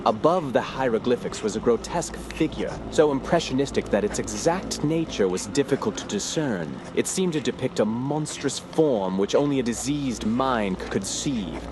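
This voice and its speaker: An actor playing a grave, brooding gentleman scholar who narrates a horror audio drama in a formal, measured, ominous way. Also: medium pitch, animated, noisy background, close to an everyday voice. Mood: uneasy, puzzled.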